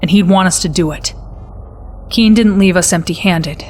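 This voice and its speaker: A woman's voice: on the low side, moderate pace, a little mellow, slight background noise, natural voice. A woman playing an earnest, patriotic military intelligence officer, formally briefing a commander in a game cutscene. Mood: solemn. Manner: formal, addresses listener as sir.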